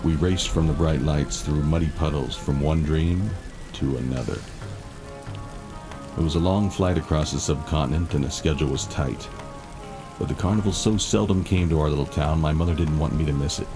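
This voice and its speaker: A man acting as a brooding narrator, telling a haunted childhood memory in dramatic, measured, scripted style. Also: rumbling low. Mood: brooding, wistful. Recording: loud music behind.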